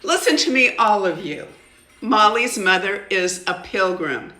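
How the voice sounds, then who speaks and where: An older woman voicing a firm, kindly female schoolteacher from a children's storybook, in an emphatic, measured way. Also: mid-range voice, expressive, bright, forceful tone, reverberant, voice pushed up. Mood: stern, loud.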